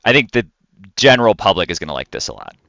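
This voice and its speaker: A man's voice: on the low side, sing-song, fast talker, a bit bright, no echo, highs cut off. A man co-hosting a podcast in casual gaming chat, often repeating and rephrasing himself mid-thought. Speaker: podcast co-host.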